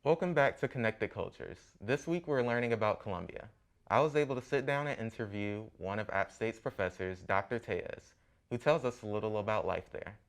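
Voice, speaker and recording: A young man hosting a student show, reading news from a script in a steady way and asking interview questions. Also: lowish voice, fairly quick. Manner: steady read-from-script delivery. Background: music underneath.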